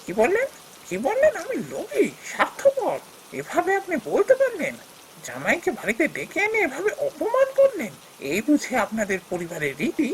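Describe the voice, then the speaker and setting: A man plays a proud and greedy son-in-law in a cartoon fairy tale. He is touchy and theatrical, with very animated intonation and emphatic phrasing. There is faint noise in the background. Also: very high-pitched, moderate pace, heavily acted, poor audio. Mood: indignant, offended. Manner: dramatic, emphatic.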